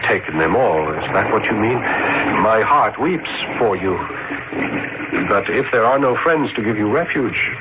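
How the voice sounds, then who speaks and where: An actor playing an earnest, gallant young student in a radio drama, with a courtly, florid, tender delivery. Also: male voice, lowish voice, lively intonation, unhurried, background noise. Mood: gentle, pitying. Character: earnest, gallant, poor young male student in revolutionary Paris. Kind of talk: old radio drama acting and narration. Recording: muffled old radio broadcast.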